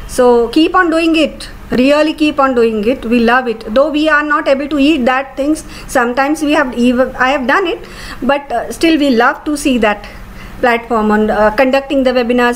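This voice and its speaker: A woman hosts a video call in a high voice, chatting casually and laughing often. Faint room noise sits behind her. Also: moderate pace, rough recording. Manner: laughs often, warm and appreciative. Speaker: webinar host. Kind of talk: casual chat on a webinar.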